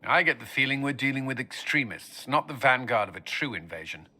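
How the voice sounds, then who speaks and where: A dry army commander. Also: male voice, medium pitch, animated, fairly quick, bright, forceful tone. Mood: steady. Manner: firm, dry, matter-of-fact. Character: dry male army commander, steady and direct. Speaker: military commander in a fantasy game. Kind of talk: video game dialogue acting.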